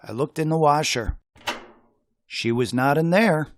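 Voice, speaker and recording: A man reading a children's story aloud in an expressive, dramatic way with suspenseful pauses. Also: fairly high pitch, slight background noise. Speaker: storybook reader.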